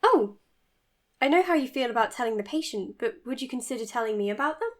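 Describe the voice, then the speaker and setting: An earnest woman doctor, played by an actress in an audio drama podcast. Her voice sits a little high. She speaks formally, with a hesitant, self-conscious edge. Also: expressive, fast talker, lightly acted. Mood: deferential, curious. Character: earnest, slightly awkward woman doctor recording podcast updates.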